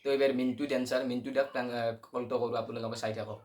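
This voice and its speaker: Fast-talking Assamese man hosting prank calls. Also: mid-range voice, level tone, a little mellow. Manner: chatty, addresses viewers directly. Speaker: prank call show host. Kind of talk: casual vlog commentary to viewers.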